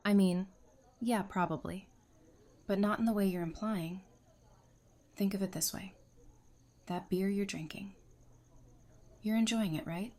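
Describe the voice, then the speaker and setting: A woman's voice: medium pitch, measured pace, long pauses, dark tone, lightly acted. A woman playing a frank, teasing, friendly nerd in an audio drama, with wry conversational delivery. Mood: candid. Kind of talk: fan audio drama acting.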